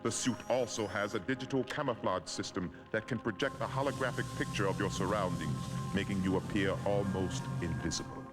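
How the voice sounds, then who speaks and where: Deep, solemn actor as a cartoon mentor. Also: male voice, lightly acted. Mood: solemn, instructing. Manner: solemn, formal, measured. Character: stern, mysterious older male mentor. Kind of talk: animated series voice acting. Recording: music behind the dialogue.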